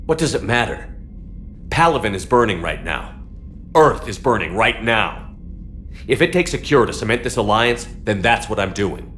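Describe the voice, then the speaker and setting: A human military commander in a sci-fi game. He has a low voice and a firm, controlled delivery, blunt and decisive. There is music behind him. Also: animated, moderate pace, fairly bright tone. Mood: resolute, urgent. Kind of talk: cinematic video game acting.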